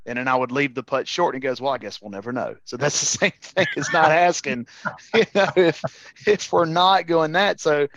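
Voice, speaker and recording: A man's voice: on the high side, moderate pace, a bit bright, muffled recording. A man hosting a podcast in a casual, agreeable interview style, echoing and building on his guest's points. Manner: agreeable, echoes the guest's words. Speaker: podcast host.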